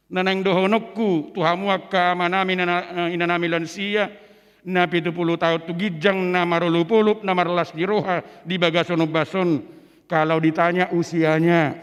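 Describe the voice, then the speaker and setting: A man's voice: sits high, a bit bright. A man preaching in church, moving between two languages and rising to emphatic peaks. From Indonesia. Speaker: pastor. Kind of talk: sermon. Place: large church. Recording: long church hall reverb.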